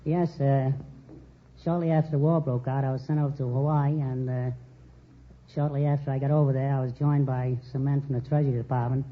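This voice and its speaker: A man's voice: mid-range voice, level tone. Earnest older man on muffled old radio. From New York City. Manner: earnest, halting, formal. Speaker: contestant, retired Secret Service agent. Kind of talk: unscripted quiz show interview. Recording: muffled vintage radio with hiss.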